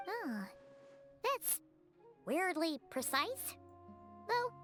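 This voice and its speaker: A tiny, chirpy fairy guide from a fantasy game. Her voice is very high and bright, bouncing up and down constantly. Game music plays softly behind her. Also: big pitch swings, very slow, exaggerated voice. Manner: refers to herself in the third person. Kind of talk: game voice acting.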